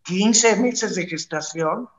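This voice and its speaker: A woman's voice: mid-range voice, takes it slowly. A middle-aged Mexican woman giving blunt, emphatic opinions as an entertainment show panelist, swearing casually. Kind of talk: opinionated celebrity commentary. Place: bright room at home. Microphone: video call, device at arm's length. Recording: roomy, slightly dull video call audio.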